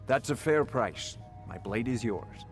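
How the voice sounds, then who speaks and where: A man's voice: medium pitch, lively intonation, unhurried, faint music behind. A man playing a confident sellsword, a soldier of fortune, in fantasy video game dialogue.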